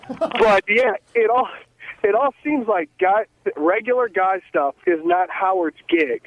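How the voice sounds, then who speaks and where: A man calling in to a radio show over the phone, chatting casually and haltingly. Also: high-pitched, animated, no room sound. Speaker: caller. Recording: tinny phone line with hiss.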